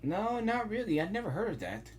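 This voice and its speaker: A woman's voice: mid-range voice, sing-song, brisk. A woman giving casual, informal live reaction commentary while playing a video game. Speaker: gaming reaction streamer.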